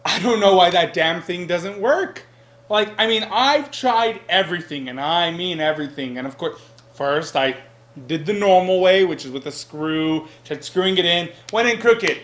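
A man's voice: high voice, normal speed, a bit bright. A man playing a bumbling, earnest roommate in a mockumentary comedy sketch, rambling with naive confidence. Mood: earnest, baffled. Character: bumbling, earnest male roommate, self-styled handyman. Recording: echoey room sound.

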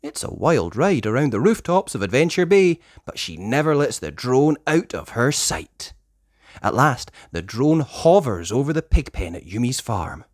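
A person reading a children's story aloud in expressive narration. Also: medium pitch, moderate pace, no echo, studio quality. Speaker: storybook reader.

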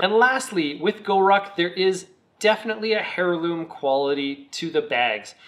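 A man with a slightly croaky voice, recovering from a cold, giving a relaxed, chatty product review on his video channel. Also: fairly high pitch. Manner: relaxed, chatty, with some fillers.

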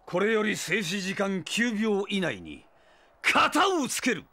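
A man's voice: high-pitched, big pitch swings, pushed and bright, full character voice. Male anime drama villain: arrogant vampire, theatrical, wildly swinging delivery, long reverb. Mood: cold, triumphant. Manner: theatrical, sneering, drawn-out words, maniacal. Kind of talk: anime audio drama acting.

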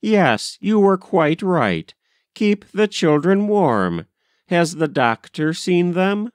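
A man's voice: high-pitched, very animated, slow, smooth, dry recording, clean studio sound, pitched above the performer's own voice, exaggerated voice. A man voicing a proper, practical, flustered mother in a storybook, genteel and given to exclaiming. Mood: worried. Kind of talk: storybook character voice.

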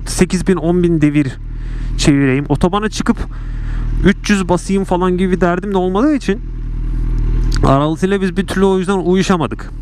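A man's voice: sits high, a little fast. A man talking casually in a rambling, self-mocking way while riding a motorcycle through city streets, recorded on a helmet mic. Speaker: motovlogger. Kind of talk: casual riding vlog chat. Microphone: helmet mic on a moving motorcycle. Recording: helmet mic with road and wind noise. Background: traffic and engine noise.

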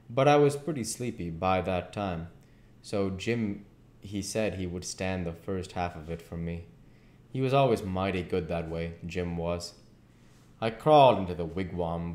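Low-voiced man reading aloud, echoey room. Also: a little mellow. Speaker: amateur audiobook reader. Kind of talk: reading a classic novel aloud. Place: living room at home, indoors. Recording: echoey home room recording.

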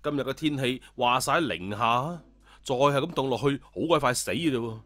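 A man narrating a scripted story in an animated storytelling style. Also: mid-range voice, normal speed, no echo. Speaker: audiobook narrator. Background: background music.

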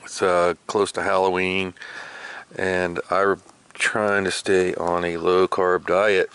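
A man hosting an outdoor cooking video at a campsite, talking through each step in a relaxed, chatty way with fillers. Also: low-pitched, slow pace, clear, resonant tone. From Florida. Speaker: outdoor cooking channel host. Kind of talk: casual how-to narration while cooking outdoors. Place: outdoors at a campsite by a fire pit. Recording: music playing under the voice.

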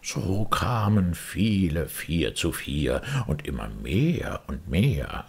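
A man reads a storybook with theatrical flair. His voice is deep and very husky, swinging widely in pitch, and he takes his time. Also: very animated, takes it slowly, darkish tone. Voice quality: husky, gravelly. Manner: theatrical, dramatic delivery. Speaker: audiobook reader. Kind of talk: expressive storybook narration of verse and prose.

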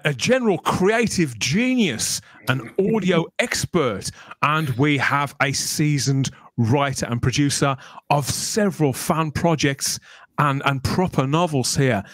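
A middle-aged man hosts a fan podcast in a chatty, enthusiastic way. His voice sits a little high and moves around a lot, with joking asides. It is recorded close and dry. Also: a bit bright, no echo. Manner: enthusiastic, jokey, wordy. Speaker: podcast host. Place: small room at home, on a video call. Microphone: close podcast microphone.

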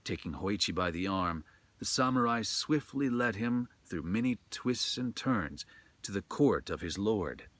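A man's voice: on the low side, expressive, a little slow, fairly bright tone, no room sound. A middle-aged man reading a ghost story aloud in a dramatic, scripted storytelling style. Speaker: storyteller, narrator. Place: outdoors in a forest.

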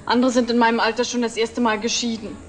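An actress playing a pouting, jealous young engaged daughter in a 1960s German TV drama. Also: female voice, a little high, little pitch movement, fast, lightly acted. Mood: sulky, sceptical. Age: young adult. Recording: old TV film, loud music behind.

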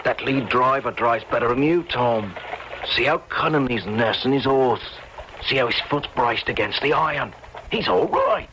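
An actor playing a rough lower-class artillery soldier, colloquial and full of enthusiastic admiration, in an old radio drama. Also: male voice, animated, moderate pace, pushed and bright, slight background noise, low-quality recording. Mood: admiring, excited.

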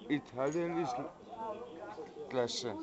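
A middle-aged man answering questions outside a café, halting and hesitant, repeating his words as he searches for them. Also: mid-range voice, a loud crowd. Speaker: interviewee. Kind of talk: casual street chat. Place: outdoors in front of a café. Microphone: handheld camera nearby. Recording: muffled lo-fi sound with people around.

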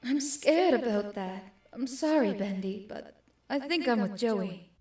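Woman as a high-voiced anxious cartoon angel. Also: a little slow, darkish tone, roomy sound, far from the mic, soft background music, natural voice. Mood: fearful, sorrowful. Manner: sighing, emotional, hesitant. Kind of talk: comic dub acting.

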